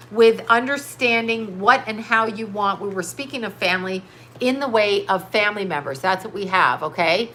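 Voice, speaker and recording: A woman's voice: fairly high pitch, bright, forceful tone, a little hiss or hum, low-quality recording. A middle-aged woman with a stuffy nose, chatting bluntly and doing a tarot reading for live viewers. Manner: blunt, swears, laughs often, scattered. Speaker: tarot reader answering live chat. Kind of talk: casual live-stream chat and card reading.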